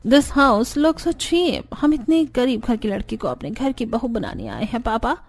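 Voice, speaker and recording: A woman's voice: on the high side, sing-song, measured pace. A woman voicing a snobbish, westernized young daughter-in-law in a cartoon, mixing in English phrases. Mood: scornful. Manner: mixes English phrases into speech. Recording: background music under the voice.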